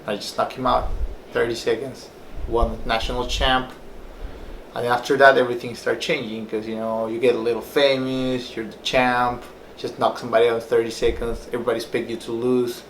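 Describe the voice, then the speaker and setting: A young man from Peru tells his story in a loose, rambling way, full of fillers. His voice sits at an ordinary pitch. The room is echoey, with a faint hum underneath. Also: level tone, a little fast. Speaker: personal trainer and former fighter. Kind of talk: casual personal story to camera.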